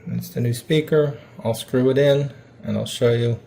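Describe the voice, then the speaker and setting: Male DIY host, mid-pitched with vocal fry, informal down-home phrasing, narrating hands-on repairs over slight background noise. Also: steady tone, moderate pace, warm tone, rough recording.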